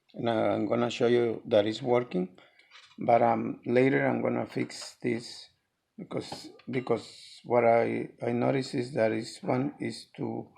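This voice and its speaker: A man presenting an unscripted hands-on how-to, speaking haltingly and repeating words as he works, with noise and clicks behind him. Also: mid-range voice, darkish tone. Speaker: DIY tutorial presenter. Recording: clear background noise with clicks.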